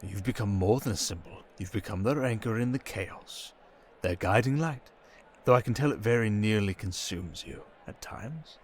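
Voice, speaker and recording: Guy doing a smooth supervillain for asmr rp, kinda low voice, teasing n seductive, slow dramatic pauses, very theatrical. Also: slight background noise, lightly acted. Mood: admiring, tender. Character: suave, cunning male criminal mastermind villain, seductive and teasing. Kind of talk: ASMR roleplay, intimate theatrical monologue.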